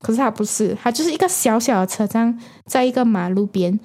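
A woman's voice: fairly high pitch, lively intonation, a little fast, warm tone. A woman, a podcast host sharing a story in casual conversation.

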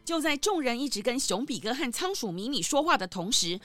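Animated woman narrator, slightly high voice. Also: brisk, faint music behind. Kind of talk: scripted children's story narration.

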